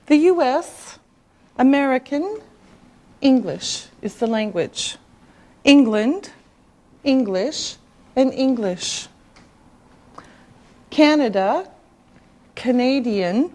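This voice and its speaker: A woman teaching an English class, speaking slowly and deliberately with clear enunciation. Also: a little high, expressive, a little mellow. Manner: slow and deliberate, drawing out words.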